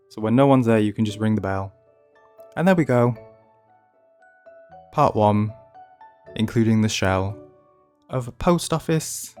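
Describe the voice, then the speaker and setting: A man with a cold, chatting casually and ranting a little while commenting on a video game build. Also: on the low side. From United Kingdom. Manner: chatty, ranting, self-deprecating asides. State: has a cold. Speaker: gaming channel host.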